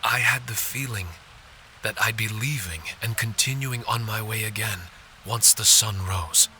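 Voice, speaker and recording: A man voicing a gentle, polite spirit warrior in a video game, earnest and a little hesitant. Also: lowish voice, measured pace, a little hiss or hum, low-quality recording. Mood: reflective.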